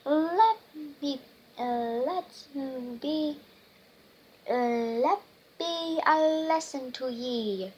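A story reader voicing a boastful, blustering male pirate captain with halting, repeated pirate talk. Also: sits high, darkish tone, a little hiss or hum. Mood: boastful, scornful.

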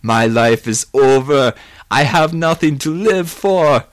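A man voicing an anguished, vengeful man who turns coldly unhinged, in halting dramatic outbursts for an audiobook. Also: medium pitch, a bit bright, no room sound. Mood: despairing.

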